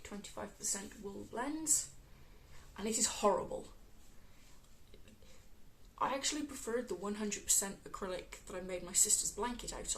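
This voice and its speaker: Croaky woman with a West Midlands accent. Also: medium pitch, slight background noise, low-quality recording. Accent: West Midlands English. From England. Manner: chatty, many ums, unhurried. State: croaky from a cold. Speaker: crafting podcast host. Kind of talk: casual crafting chat.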